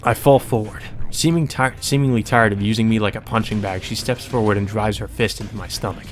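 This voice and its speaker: A man's voice: on the low side, fairly even intonation, a little fast, soft background music. A man reading visual novel narration aloud as a let's play narrator, in a dramatic storytelling manner.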